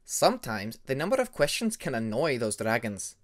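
A man voicing a reserved, proud young female dragon student who speaks formally and in measured sentences. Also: medium pitch, very animated, lightly acted. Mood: matter-of-fact. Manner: formal, measured, uncontracted speech.

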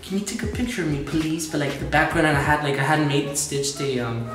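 A young man, hosting a casual vlog and telling rambling, joking stories while filming himself in a dark abandoned prison. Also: fairly high pitch, a little fast. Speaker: vlog host. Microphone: handheld camera at arm's length. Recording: echoey concrete halls with music.

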